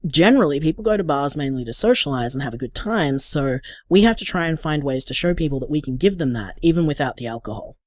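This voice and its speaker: An actor playing a sociable, flashy bar co-owner in a radio drama interview, chatty and upbeat with an Australian accent. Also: male voice, fairly high pitch, animated, fast talker, hardly any pauses, dry recording, studio quality, natural voice.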